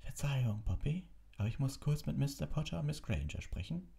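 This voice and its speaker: Guy doing an old headmaster in an audiobook, calm and firm, creaky, polite but in charge. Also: a little high, pitched above the performer's own voice. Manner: composed, measured, courteous but firm.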